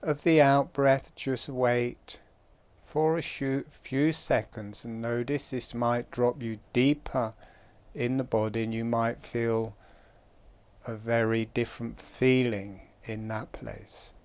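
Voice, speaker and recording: A man guiding a breathing meditation, giving calm, gentle instructions with long pauses. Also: mid-range voice. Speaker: meditation guide.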